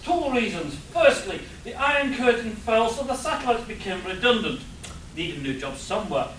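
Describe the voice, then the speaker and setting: A learned scientist professor acted by a man in a comic radio play. He explains with animated intonation. The sound is very echoey and distant from the mic. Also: high-pitched, normal speed, a little hiss or hum. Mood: lecturing. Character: learned scientist professor, matter-of-fact, slightly wistful.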